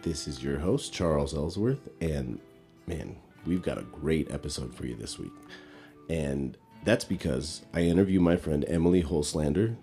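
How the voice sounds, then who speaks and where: A man hosting a podcast, talking casually with loose fillers, his voice congested as he recovers from an illness. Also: extremely low pitch. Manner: relaxed, conversational, loose fillers. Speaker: podcast host. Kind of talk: casual podcast intro and sponsor read.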